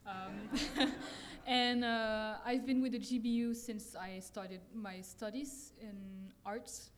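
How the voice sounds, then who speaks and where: A young woman from France presenting her campus ministry work with slides, soft-spoken and pausing to search for words. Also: mid-range voice, steady tone.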